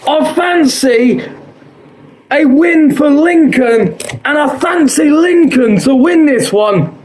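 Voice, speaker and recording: A man with a hoarse, fading voice hosts his own show, predicting football scores in a chatty, repetitive way and filling pauses with "uh". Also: extremely high pitch, animated, a little mellow, slight background noise. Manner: chatty, quotes odds, repeats himself, frequent "uh".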